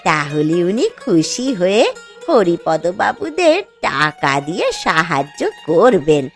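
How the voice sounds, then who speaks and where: A woman's voice: fairly low pitch, very animated, takes it slowly, faint music behind, full character voice. A woman playing a poor, feisty elderly village mother-in-law in a cartoon story, with a plaintive, homely manner. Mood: musing, hopeful. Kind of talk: Bengali cartoon story acting.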